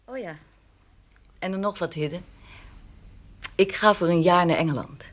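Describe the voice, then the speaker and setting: Calm frank lady, lowish voice, plain-spoken, bit teasing, radio play. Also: measured pace. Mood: matter-of-fact, teasing. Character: calm, frank, independent woman artist. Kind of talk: radio drama acting.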